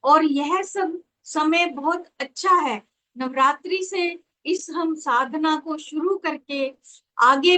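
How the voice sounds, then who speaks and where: A woman giving a devotional lecture as a spiritual teacher, in a measured, devout, instructive manner. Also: sits high, fairly bright tone, low-quality recording. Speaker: spiritual teacher and astrologer leading an online session. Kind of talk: devotional lecture presenting with slides.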